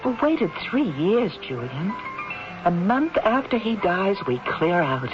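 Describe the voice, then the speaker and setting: An actress playing a cold, scheming wife plotting her husband's death, brisk, controlling and dismissive, in 1970s radio drama style. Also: medium pitch, sing-song, music in the background. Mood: cold, coaxing. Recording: dull old radio recording.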